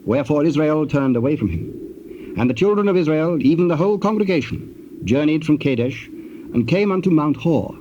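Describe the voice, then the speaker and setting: A man narrating scripture in a formal, solemn and measured manner, as part of a dramatized reading. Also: a little high, expressive, mellow. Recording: dull, noisy old cassette tape.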